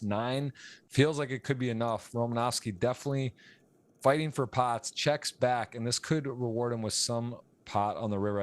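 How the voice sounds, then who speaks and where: An American man calling a live poker stream, rambling and repeating words, and getting excited on big hands. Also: mid-range voice. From United States. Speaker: poker commentator and stream host. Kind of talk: live play-by-play commentary.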